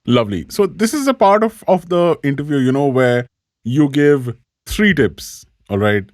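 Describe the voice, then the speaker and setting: A man's voice: a little high, very animated, moderate pace, no echo, studio quality. A man hosting an interview show, asking guided questions and repeating back the guest's points. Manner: leading, recaps the guest's points. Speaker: show host. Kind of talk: interview host.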